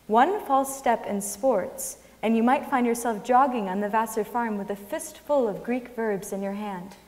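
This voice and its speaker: A woman's voice: on the high side, normal speed. A woman giving a scripted dramatic reading on the stage of a large auditorium. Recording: long hall reverb.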